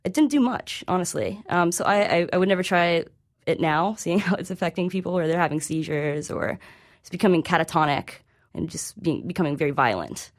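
A woman's voice: fairly low pitch, a little fast. A woman reporter speaking as an interview guest, explaining informally in a hesitant, conversational way.

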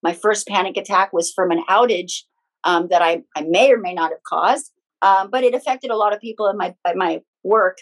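Middle-aged woman, talking openly and chattily as a guest on a podcast interview. Her voice sits at an ordinary pitch for a woman, neither high nor low. She tells her story in a loose, conversational way. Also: normal speed. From Philippines. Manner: chatty, frank, personal anecdotes with small laughs. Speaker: guest, spiritual teacher and author.

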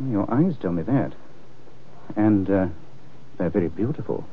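An actor playing a suave, witty, flirtatious gentleman adventurer in a 1950s radio drama, urbane and wry. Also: lowish voice, animated, unhurried. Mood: wryly charming. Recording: tinny, hissy old radio recording.

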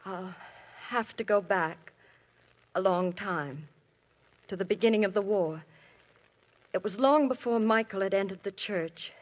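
A woman's voice: medium pitch, unhurried, long pauses, close to an everyday voice. A woman playing a married woman questioned by police in a 1950s radio drama, earnest and emotional, her voice recalling the past. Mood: wistful. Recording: old radio broadcast.

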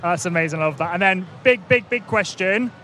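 A man's voice: high voice, a little fast, bright, forceful tone, slight background noise. Enthusiastic male podcast host at a festival. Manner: enthusiastic, repeats "big, big question". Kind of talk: upbeat vox-pop interviewing. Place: outdoor street festival.

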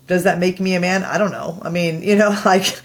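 A woman guest on a podcast with a low voice, explaining her views in a reflective, conversational way and often checking in with "right?". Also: steady tone, fairly quick.

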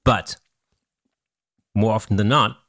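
A man hosting a casual podcast, German by birth, talking in an animated way with frequent laughs and restarts. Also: on the low side, slow pace, dry recording, clean studio sound. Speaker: podcast host.

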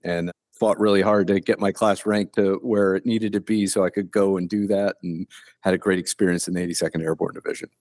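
Plainspoken low-voiced retired officer. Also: male voice, steady tone, a little mellow, dry recording. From Ohio, US. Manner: plainspoken, unhurried storytelling. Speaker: guest, retired Army colonel. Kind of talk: casual interview conversation. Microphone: remote call.

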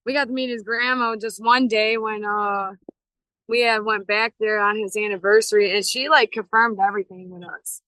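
A woman's voice: mid-range voice, steady tone, normal speed, pushed and bright, no echo, low-quality recording. A woman, a paranormal investigator, telling a story in loose, chatty speech with lots of fillers. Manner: loose, filler-heavy, laughs easily. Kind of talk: casual storytelling chat.

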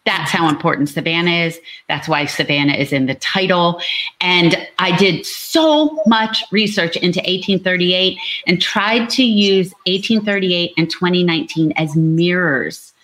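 A woman with a slightly low voice speaks as a novelist being interviewed. She is earnest and builds her points like stories, repeating phrases for emphasis. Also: measured pace, fairly bright tone, low-quality recording. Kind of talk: animated book talk on an online panel.

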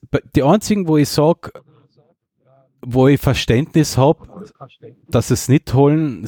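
A man's voice: medium pitch, mellow, no room sound, studio quality. A man with an Austrian accent co-hosting a podcast in casual chat, speaking bluntly and colloquially. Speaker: podcast co-host.

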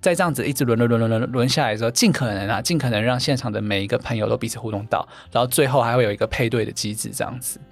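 A man's voice: mid-range voice, racing, hardly any pauses, soft background music. A man with a Taiwanese Mandarin accent, a podcast guest, chatting casually and explaining at length with lots of fillers and repeated words. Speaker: guest, founder of a dating event organizer.

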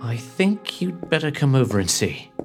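Glum, businesslike agent, audio drama. Mid-range voice, music and keyboard behind. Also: male voice, medium pitch, sing-song, normal speed, vocal fry. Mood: urgent.

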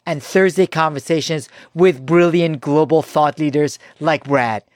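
A male podcast host with a fairly high voice introduces his guest with polished enthusiasm. His questions come across smooth and practiced. The recording is dry and close. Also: unhurried, dry recording. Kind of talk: podcast interview hosting.

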